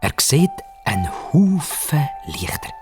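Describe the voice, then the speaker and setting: A man with a Swiss German accent narrating a story for young children expressively, with dramatic pauses and repetition. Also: a little high, very animated, music in the background.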